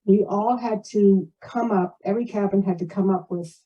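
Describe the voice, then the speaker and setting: A woman's voice: mid-range voice, fairly even intonation, normal speed, husky, highs cut off. A woman giving an informal report at a community meeting, plain-spoken and rambling, often drifting into asides. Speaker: board member giving a financial report. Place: community meeting shared over video call.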